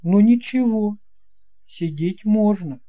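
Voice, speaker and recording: A man's voice: sits high, takes it slowly, mellow, pitched above the performer's own voice, low-quality recording. A man voicing a polite, slightly unsure young boy traveller in a storybook tale, earnest and hesitant. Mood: reassured.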